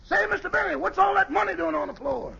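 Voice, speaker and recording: An actor playing a cheeky, wisecracking male servant with a gravelly voice in an old-time radio comedy. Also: very high-pitched, lively intonation, fast talker, heavily acted. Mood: amused, teasing. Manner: wisecracking, loud. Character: cheeky male servant teasing his boss. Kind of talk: 1940s radio comedy acting. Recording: muffled, noisy old radio recording.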